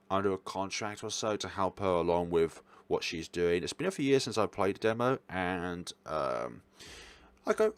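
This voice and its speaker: A man's voice: fairly low pitch, clear, resonant tone, no echo. A man giving casual tier-list commentary as a video host, talking in an informal, rambling way and correcting himself as he goes. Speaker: video host reviewing games.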